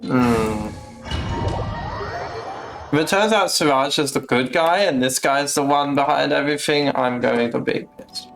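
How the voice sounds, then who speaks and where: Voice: mid-range voice, unhurried, pauses often, poor audio. A streamer giving casual, blunt live commentary on a game. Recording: game music behind the voice.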